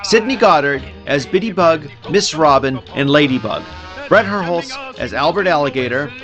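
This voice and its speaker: A man's voice: on the high side, expressive, moderate pace, a bit bright, soft background music. A man reading scripted podcast credits as an announcer.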